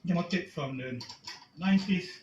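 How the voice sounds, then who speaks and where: Middle-aged man in a roomy, dull recording. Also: a little high. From Malaysia. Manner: halting, casual. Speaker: guest storyteller, music archivist. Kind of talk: informal narrated tour of his collection. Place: recorded video inside a room at home. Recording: roomy, dull video audio, faint noise.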